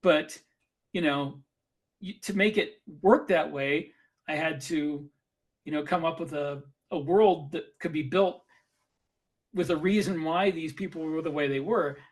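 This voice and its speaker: A man's voice: a little high, a little slow. A man in a casual podcast discussion, explaining things and giving advice in a conversational way. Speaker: podcast host and author.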